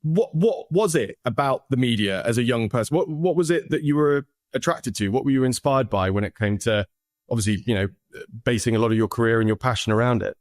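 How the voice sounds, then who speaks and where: A man's voice: mid-range voice, fairly quick, dry recording, studio quality. A man hosting an interview podcast, effusive and eager, often repeating words and restarting sentences as he asks questions. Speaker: podcast host.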